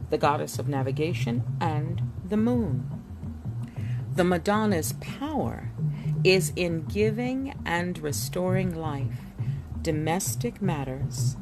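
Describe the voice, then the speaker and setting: Woman, lowish pitch, very animated intonation, unhurried, theatrical narration, music behind. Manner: theatrical, with dramatic emphasis and pauses. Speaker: book reader. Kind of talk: expressive read-aloud of an illustrated history book, with spoken asides.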